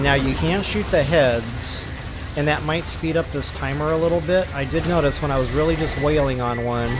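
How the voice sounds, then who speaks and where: A man giving casual gameplay walkthrough commentary, talking straight to his viewers as a channel host. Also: mid-range voice. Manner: casual, talks directly to viewers. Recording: game music behind the voice.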